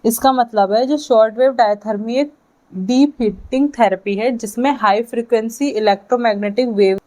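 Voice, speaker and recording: A woman with an Indian accent lecturing to students, explaining and dictating key points in halting, deliberate phrases with frequent check-ins. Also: fairly high pitch, a little mellow, poor audio. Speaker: physiotherapy lecturer.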